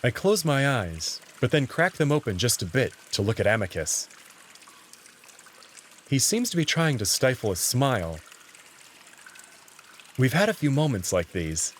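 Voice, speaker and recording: A man's voice: medium pitch, sing-song, measured pace, pauses often, slight background noise. A man narrating a visual novel in the first person, reading it as a story.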